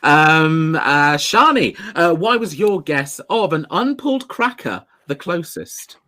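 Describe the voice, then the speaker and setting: Excitable man hosting a comedy panel game. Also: on the high side. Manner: excitable, quick to laugh. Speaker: game show host. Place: home room. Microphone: video call.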